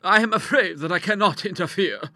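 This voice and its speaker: A man voicing a middle-aged gentleman doctor in an audiobook, an indignant father who speaks in a pompous, formal, emphatic way. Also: high voice, a little fast, no echo, clean studio sound, voice pushed up. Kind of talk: audiobook character acting.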